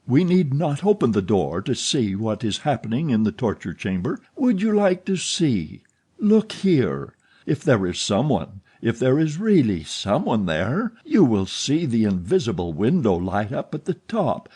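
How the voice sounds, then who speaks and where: Furious jealous phantom of a man, menacing, loud and forceful, read by a man, mellow husky voice. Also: medium pitch, sing-song, unhurried, voice pushed up.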